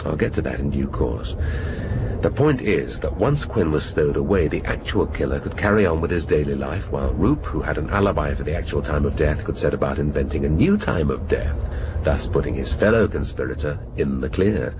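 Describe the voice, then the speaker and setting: An actor playing a shrewd, sardonic middle-aged British police inspector in a radio drama, speaking deliberately and with relish. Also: male voice, a bass voice, animated, noisy background. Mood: pleased, scheming. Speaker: police detective.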